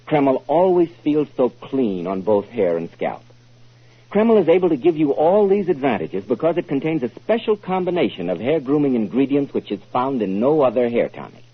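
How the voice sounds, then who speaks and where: Old time radio announcer guy, polished pitchman delivery, super animated intonation, muffled vintage recording. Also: husky. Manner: emphatic, polished salesman delivery.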